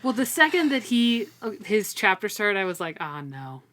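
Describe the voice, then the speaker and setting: A woman's voice: mid-range voice, very animated, normal speed, a bit bright. A woman co-hosting a casual book discussion, talking in a slangy way and repeating words for emphasis. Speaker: podcast co-host.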